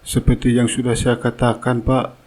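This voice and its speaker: A man playing a loyal, deferential driver in a cartoon melodrama, speaking formally in a husky voice. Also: mid-range voice, completely flat delivery, normal speed, darkish tone, lightly acted. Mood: earnest. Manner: formal, respectful. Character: loyal, deferential driver reporting to his boss.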